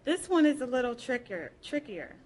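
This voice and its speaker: A woman's voice: high-pitched, very animated, moderate pace, a little mellow. A woman reading folksy prose aloud at a live program, with a playful, colloquial storytelling delivery. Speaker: public reader at a library program.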